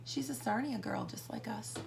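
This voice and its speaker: A woman with a hoarse, scratchy voice and a gravelly, husky texture. She chats casually as a podcast co-host and rambles, with plenty of fillers. Her pitch sits in the middle for a woman, and a faint noise lies under the recording. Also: moderate pace. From Canada. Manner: chatty and rambling, with many fillers. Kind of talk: casual chat.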